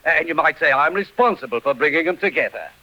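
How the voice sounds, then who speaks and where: A man's voice: on the high side, expressive, quick pace, sharp tone. An actor playing a garrulous, self-important village postman and bell ringer in 1940s radio style. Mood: chirpy, boastful. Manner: garrulous, cheerfully boastful. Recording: dull 1940s radio recording.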